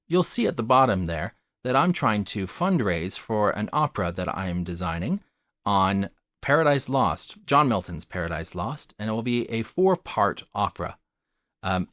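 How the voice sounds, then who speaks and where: A man with a low voice narrates a scripted video essay. His intonation rises and falls widely as he stresses key phrases in an articulate, teacherly way. The sound is close and dry. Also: a bit bright, no echo. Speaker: host of a theatre commentary channel.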